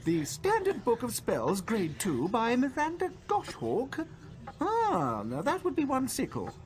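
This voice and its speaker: A woman's voice: fairly high pitch, huge pitch swings, unhurried. A woman playing a helpful bookshop keeper in a video game, with a theatrical, instructive manner. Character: helpful, chirpy bookshop keeper advising young wizards. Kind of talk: video game tutorial dialogue.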